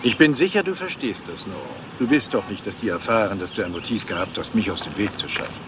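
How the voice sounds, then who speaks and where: A man's voice: lowish voice, animated, quick pace, background noise, close to an everyday voice. A man playing a smug, insinuating Hollywood gossip reporter who blackmails, in a dubbed crime series. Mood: insinuating, threatening.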